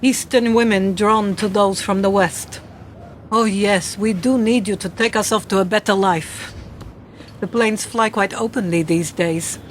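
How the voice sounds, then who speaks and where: A woman playing a world-weary, seductive Eastern European spy in a radio play, speaking slowly and deliberately over background noise. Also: mid-range voice, fairly even intonation, lightly acted. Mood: wry, cool.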